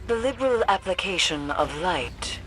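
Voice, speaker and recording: A woman playing a stern, cryptic mystic in a video game, with grave, formal, deliberate phrasing. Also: on the low side, lively intonation, fairly bright tone, a little hiss or hum, poor audio.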